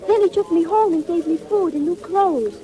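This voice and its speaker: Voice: extremely high pitch, normal speed, mellow, low-quality recording. A performer playing a polite, innocent orphan boy in a 1940s audio drama, earnest and pleading in manner. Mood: trusting. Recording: old 1940s record with faint noise.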